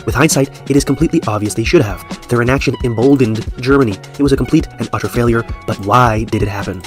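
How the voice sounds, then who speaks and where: Brief: male essayist narrator, very fast scripted read, faint music underneath. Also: mid-range voice. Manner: brisk, measured argumentative delivery. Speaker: video essayist.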